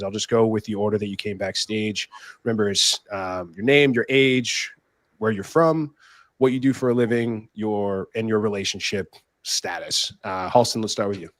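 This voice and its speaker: A man hosts a panel discussion over a video call, his voice sitting on the low side. He keeps things easygoing, with loose fillers between questions. The sound is dry and close. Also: moderate pace, no echo. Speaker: podcast host. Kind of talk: casual panel moderation.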